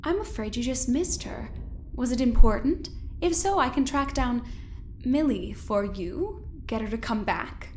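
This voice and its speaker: Female scientist, audio drama. High voice, cordial but pointed, chatty. Light background noise. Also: animated, unhurried, a little mellow. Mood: polite, curious. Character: cordial, probing female doctor, a visiting scientist.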